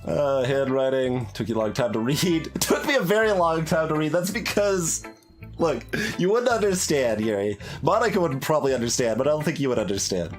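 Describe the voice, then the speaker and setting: A man who streams games, speaking or reciting in an expressive performance for his audience. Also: a little high, fairly quick. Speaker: game streamer. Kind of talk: casual live playthrough commentary; dramatic poetry recital. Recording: music behind the voice.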